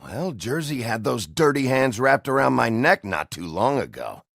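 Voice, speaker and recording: An actor playing a gruff, world-weary sheriff in a noir video game, blunt, terse and sardonically threatening. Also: male voice, mid-range voice, sing-song, moderate pace, a bit bright, dry recording, clean studio sound, exaggerated voice. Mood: hard, threatening.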